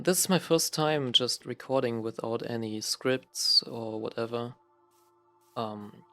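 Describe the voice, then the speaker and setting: A man's voice: medium pitch, vocal fry, a bit bright, slight background noise. A young man from Germany with a German accent, talking unscripted and rambling while he plays a game, with many fillers and restarts. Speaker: gaming vlogger. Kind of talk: casual unscripted talk while playing a game.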